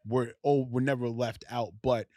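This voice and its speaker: Casting note: male podcast host, slangy and rambling, middle pitch, dry close sound. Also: fairly even intonation, moderate pace, a little mellow, dry recording. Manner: loose, slangy, rambling questions full of fillers. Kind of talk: casual interview chat.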